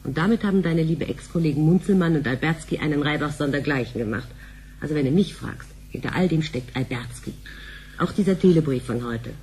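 An actress playing a middle-aged, sharp-tongued wife and mother in a sci-fi radio play, brisk and sardonic. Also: low voice, slight background noise, close to an everyday voice. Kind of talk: radio drama acting.

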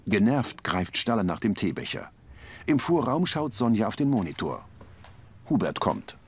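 A man's voice: fairly low pitch, big pitch swings, moderate pace, breathy edge. A man reading scripted audio description, narrating scenes in the present tense.

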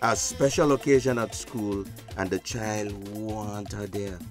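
A man acting in a radio drama as a stern, weary, dignified older gentleman with a Belizean Creole accent. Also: on the low side, a little slow, faint music behind, natural voice. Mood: weary, resigned.

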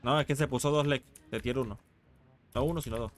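A man's voice: medium pitch, fairly quick. A man chatting casually with teammates during a game, repeating short callouts. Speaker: gaming teammate.

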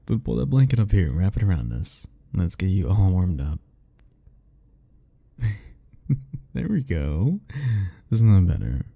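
A man's voice: low voice, sing-song, slow pace, creaky, pauses often, dark tone, slightly rough. A young man doing an ASMR roleplay as a teasing, easygoing roommate talking to the listener, laughing often.

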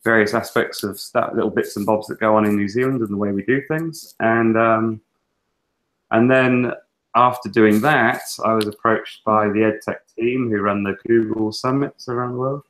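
A man's voice: lowish voice, steady tone, normal speed, fairly bright tone, rough recording. English man chatting on a video call. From England. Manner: chatty, lots of fillers and restarts. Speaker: guest teacher and author. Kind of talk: casual webinar interview. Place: small room at home. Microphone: earphone headset on a video call.

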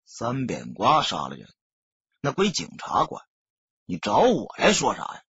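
A man voicing a hot-tempered, defiant street tough in an audiobook, husky and blunt. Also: mid-range voice, wildly swooping pitch, unhurried, fairly bright tone, no echo, muffled recording, full character voice. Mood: defiant, irritated. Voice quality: husky, gruff. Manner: blunt, grumbling.

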